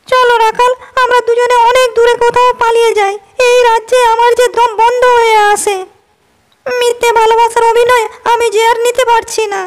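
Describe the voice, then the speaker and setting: A woman's voice: extremely high pitch, a little hiss or hum. A woman voicing a defiant, scornful young princess. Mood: desperate. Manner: sharp and scornful. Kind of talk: cartoon voice acting.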